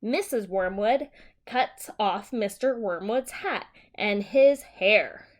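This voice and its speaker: A woman teacher reading a simplified children's story aloud and explaining it in a deliberate, simple way for young children. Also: mid-range voice.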